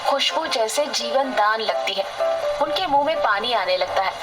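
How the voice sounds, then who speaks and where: A woman's voice: fairly high pitch, lively intonation, normal speed, loud music behind the voice. A woman reading scripted story narration as the narrator of a moral tale.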